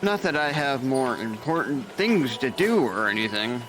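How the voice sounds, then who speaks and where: A man's voice: medium pitch, sing-song, normal speed, music in the background, lightly acted, rough recording. A man playing a burned-out senior hospital nurse who mentors new staff with sarcastic grumbling. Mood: weary sarcastic. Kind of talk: audio drama acting.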